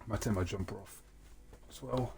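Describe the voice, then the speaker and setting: A man's voice: fairly low pitch, moderate pace, vocal fry, noisy background. A young man with a cold chatting loosely while he plays a video game, rambling with lots of fillers and restarts. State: has a cold. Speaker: gaming streamer. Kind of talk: casual let's-play chat.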